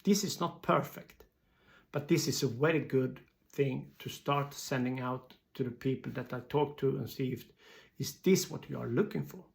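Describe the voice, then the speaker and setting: Man, Swedish accent, very gravelly creaky voice, mid pitch, halting non-native phrasing, informal presentation. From Sweden. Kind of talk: informal explanatory talk.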